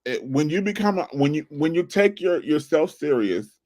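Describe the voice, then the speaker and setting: A man's voice: fairly high pitch, expressive, normal speed, darkish tone. A man in his forties hosting his own channel, giving casual, earnest advice with a preachy, repetitive coaching tone. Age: 40s. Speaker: channel host. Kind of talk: casual livestream advice talk.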